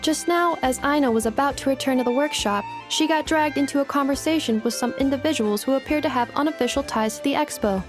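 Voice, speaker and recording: A woman's voice: high voice, quick pace, few pauses, music in the background, lightly acted. A woman voicing a polite, literal-minded female robot in a video game, speaking formally and precisely. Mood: composed. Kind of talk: video game character dialogue.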